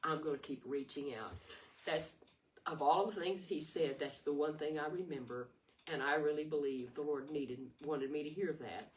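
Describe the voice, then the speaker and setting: An older woman with a raspy voice giving an earnest, rambling devotional talk full of restarts. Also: low-pitched, moderate pace. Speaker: devotional video host. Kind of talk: informal devotional sermon. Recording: distant mic with faint noise.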